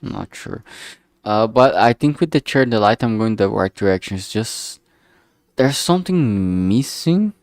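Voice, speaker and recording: A man's voice: fairly low pitch, lively intonation, a little slow, darkish tone, no room sound, clean studio sound. A man thinking aloud casually as he works, speaking hesitantly and often trailing off mid-thought. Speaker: streamer making a visual novel.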